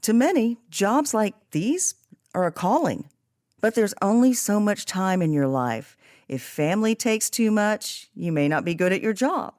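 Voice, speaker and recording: A woman hosting a podcast, discussing a book in a reflective, expressive and emphatic way. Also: mid-range voice, unhurried, no echo, studio quality. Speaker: podcast host.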